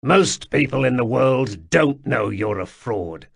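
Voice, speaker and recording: A scornful, hardened warrior with a rough, gravelly grain to his voice, speaking slowly and snapping out his words, as a male narrator plays him. His lines rise and fall widely rather than sitting flat. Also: medium pitch, exaggerated voice. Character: bitter, hardened older warrior man, curt and scornful.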